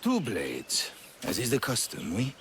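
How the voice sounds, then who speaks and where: A man's voice: mid-range voice, huge pitch swings, measured pace, a bit bright, a little hiss or hum. An actor playing a suave, amused French arms smuggler in a video game, with a French accent and a theatrical way of savoring his words. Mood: smug, probing. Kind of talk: video game cutscene acting.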